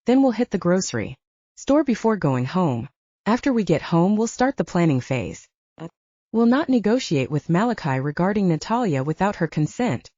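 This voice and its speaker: Woman voicing an easygoing young male werewolf, wide-swinging pitch. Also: very animated, normal speed, dark tone, no echo, lo-fi sound. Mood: earnest.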